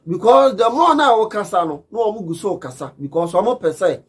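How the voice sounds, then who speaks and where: A man's voice: sits high, animated, a little fast, husky. A man in his thirties with a Ghanaian accent, a preacher speaking straight to camera indoors in an emphatic, pleading, admonishing way. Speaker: preacher giving advice to camera. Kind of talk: direct-to-camera admonishing talk. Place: furnished living room indoors.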